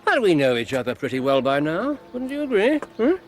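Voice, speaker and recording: An actor playing a keen, self-assured Victorian detective with an English accent and a precise, theatrical manner in a radio drama. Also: male voice, a little high, huge pitch swings, brisk. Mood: teasing, assured. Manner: precise, theatrical, teasing deductions.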